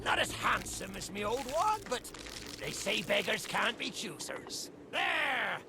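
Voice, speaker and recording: An actor playing a greedy, roguish old skull in a video game, with a theatrical storytelling manner. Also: male voice, high voice, big pitch swings, a little slow, vocal fry, background noise, heavily acted. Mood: scheming, helpful. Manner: theatrical storytelling, archaic pirate dialect. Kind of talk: video game character acting.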